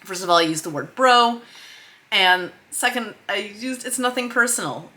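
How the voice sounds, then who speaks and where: A woman hosting a casual video log as a screenwriter, explaining her craft in an animated way with bits of script read out. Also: medium pitch, a little slow.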